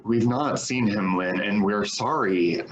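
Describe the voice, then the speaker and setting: Actor as placating friend, muffled sound. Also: male voice, on the low side, slow pace, a little hiss or hum. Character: worried, placating male friend. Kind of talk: staged play reading.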